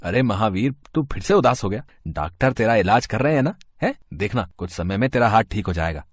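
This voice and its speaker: A man plays a helpful, kindly friend of the family in a village-to-city tale. His delivery is animated and reassuring, with practical calm. The recording is dry and close. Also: lowish voice, brisk, dry recording. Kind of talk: Hindi moral story voice acting.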